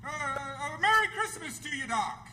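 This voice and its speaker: Casting: dazed, meek drunk, high-pitched and very erratic in melody, slow, halting, radio play. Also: male voice, sing-song, sharp tone, slight background noise, heavily acted. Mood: grateful. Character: bewildered, grateful, down-and-out drunk man. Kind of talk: old-time radio theatre acting.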